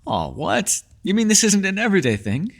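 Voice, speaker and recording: A man's voice: sits high, sing-song, a little fast, heavily acted. A man playing a mysterious, ageless male elf in a fantasy ASMR roleplay, teasing yet secretive, with courteous, formal, old-fashioned phrasing. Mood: lightly teasing.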